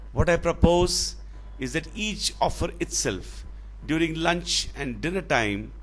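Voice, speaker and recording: A man voicing a wise old male owl in a storybook, pained and solemn, pleading slowly. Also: on the high side, fairly bright tone, voice pushed up.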